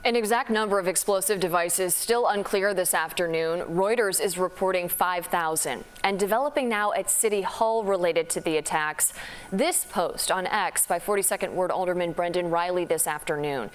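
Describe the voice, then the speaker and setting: A woman's voice: on the low side, moderate pace, clean tone. A woman with an American accent delivering a scripted live report as a news reporter.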